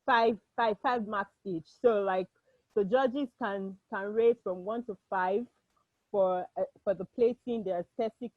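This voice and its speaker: A woman's voice: mid-range voice, unhurried, no room sound. A woman in her thirties with a Nigerian accent, a Yoruba speaker, hosting an online cooking contest from home in a chatty manner, often restarting and laughing. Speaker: host. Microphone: video call. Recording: dull, dry home video call audio.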